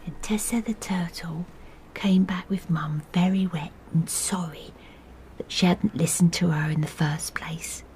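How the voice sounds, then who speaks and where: An actress with an English accent playing a gentle, anxious young wife and mother in a period radio drama, polite and soft-spoken. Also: lowish voice, fairly even intonation, unhurried, noisy background, close to an everyday voice. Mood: tender, hushed.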